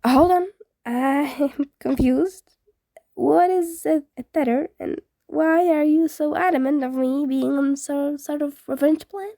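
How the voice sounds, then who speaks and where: A woman voicing a friendly teenage ghost boy in a storybook reading, easily confused and indignant, with animated casual teen talk. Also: high voice, slow, creaky, no echo, voice pushed up, full character voice.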